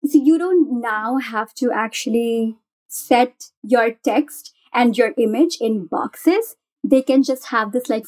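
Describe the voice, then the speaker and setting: Enthusiastic woman with a higher-pitched voice. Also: darkish tone. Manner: enthusiastic, gushing. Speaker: guest software developer. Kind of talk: casual conversational explanation.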